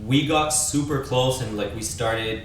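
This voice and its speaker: Man, 20s, from Austria, podcast host, laid-back casual talk, mid pitch, echoey room, off-mic. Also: measured pace, recorded from a distance, a little hiss or hum.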